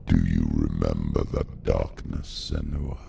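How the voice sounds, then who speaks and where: A man voicing a menacing, taunting dark demon in a video game, with a gravelly, guttural voice. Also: very deep voice, expressive, a little slow, a little hiss or hum, full character voice. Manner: ominous, taunting. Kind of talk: dramatic video game acting.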